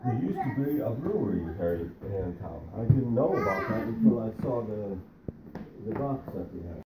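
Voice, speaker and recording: Male vlog host, casual tour guide, very deep voice, action-cam sound. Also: normal speed. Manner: relaxed guide pointing things out. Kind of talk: casual chat touring and fishing. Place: indoors touring a themed venue, then out on a boat. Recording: echoey rooms with loud background noise.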